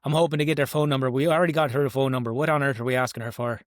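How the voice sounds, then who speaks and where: A man's voice: mid-range voice, fairly even intonation, racing, dry recording, clean studio sound. A man playing a tabletop roleplaying game, questioning the plan in casual banter.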